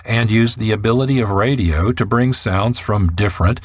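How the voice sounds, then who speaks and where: A man's voice: on the low side, normal speed, no room sound, studio quality. A man reading scripted documentary narration as the narrator of a radio programme.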